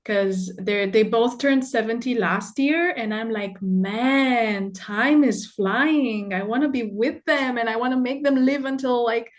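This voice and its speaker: A woman's voice: a little high, a little slow, hardly any pauses. Woman from Romania chatting on a call. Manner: warm, spiritual wording, many fillers. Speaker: leadership coach. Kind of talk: casual video call chat.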